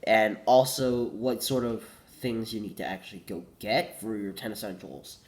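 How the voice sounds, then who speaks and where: A man's voice: lowish voice, fairly even intonation, normal speed, darkish tone. Congested young man rambling casually. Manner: rambling, self-correcting, says "like" a lot. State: congested with a cold, coughing. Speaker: vlogger.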